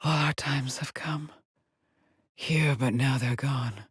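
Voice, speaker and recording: Breathy, haunted woman astronaut in a game. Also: fairly low pitch, no room sound, studio quality. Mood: eerie, hushed. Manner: slow, halting, haunted delivery with sighs. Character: anguished woman astronaut trapped in a haunting cycle. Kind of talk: dramatic video game voice acting.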